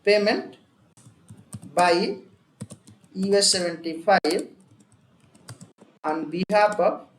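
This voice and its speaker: An instructor with an Indian accent gives a software tutorial in a repetitive, step-by-step way. The voice sits at a middling pitch. The recording is echoey, with faint noise behind it. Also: very slow, long pauses. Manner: repetitive, emphatic step-by-step explaining with frequent check words. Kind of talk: software and accounting tutorial, explaining a worked example.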